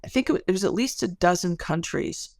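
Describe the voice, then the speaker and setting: A woman's voice: on the low side, animated, fast, creaky, a little mellow, no echo, clean studio sound. A middle-aged woman speaking as an academic interview guest, explaining things in a thoughtful, careful way and often correcting herself. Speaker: podcast guest, academic researcher.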